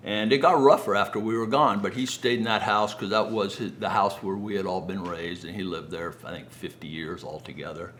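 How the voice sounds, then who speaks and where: Older American man, bright voice, conversational storyteller, guest speaker, faint background noise. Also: low voice, fairly even intonation, fairly quick, few pauses. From Los Angeles area, United States. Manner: conversational, acts out quoted dialogue. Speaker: guest speaker, business founder. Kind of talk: personal storytelling to an audience.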